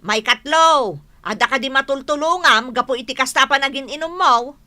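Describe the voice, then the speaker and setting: Nagging high-voiced Ilocano wife. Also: female voice, normal speed, bright, forceful tone. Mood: scolding. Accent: Filipino, Ilocano. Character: scolding, long-suffering wife of a drunkard and mother. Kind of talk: Ilocano radio comedy drama acting.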